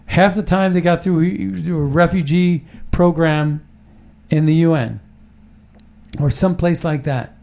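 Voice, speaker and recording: A man's voice: on the high side, a little slow, creaky, darkish tone. A man hosting a casual political talk show, rambling and emphatic, often restarting his sentences.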